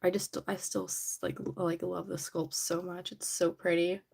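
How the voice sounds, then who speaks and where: Chatty young woman, allergy-raspy voice. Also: lowish voice, flat delivery, moderate pace, darkish tone. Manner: chatty, giggly, rambling with many "like"s. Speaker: livestream host. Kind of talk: casual livestream chat while painting a figure. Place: indoors at home.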